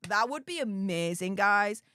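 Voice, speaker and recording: A woman's voice: medium pitch, lively intonation, a little slow, sharp tone, dry recording, clean studio sound. A woman with a Yorkshire accent, hosting a casual livestream and chatting as she reads out viewer comments. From Yorkshire, England. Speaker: YouTube host reading live chat.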